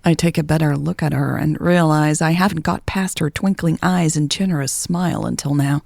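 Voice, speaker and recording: A woman's voice: sits low, fairly even intonation, normal speed, gravelly, a little mellow, dry recording, studio quality. A woman reading a first-person romance story as a scripted narration.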